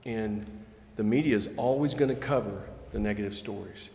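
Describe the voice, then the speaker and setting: A man explaining things step by step in measured remarks as a local development official at a public meeting. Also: on the low side, warm tone. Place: city council chamber. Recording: echoey reverberant meeting chamber.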